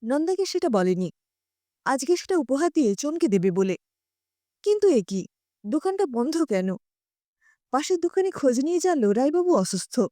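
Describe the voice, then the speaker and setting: A woman narrating a scripted short story in an expressive storytelling style. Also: sits high, normal speed, long pauses, no room sound, studio quality.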